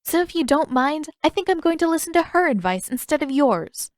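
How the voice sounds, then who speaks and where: A woman's voice: sits high, sing-song, fairly quick, dry recording, clean studio sound, voice pushed up. A woman voicing a defiant, flustered teenage girl who argues emphatically in a narrated fantasy story. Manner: indignant, emphatic.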